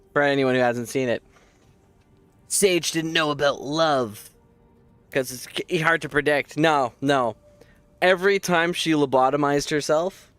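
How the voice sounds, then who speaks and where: A man streaming a game who chats casually and jokes at his own expense with viewers, hoarse from losing his voice. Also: a little high, fairly bright tone, no echo. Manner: rambling, self-mocking, joking with viewers. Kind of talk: casual chat while playing.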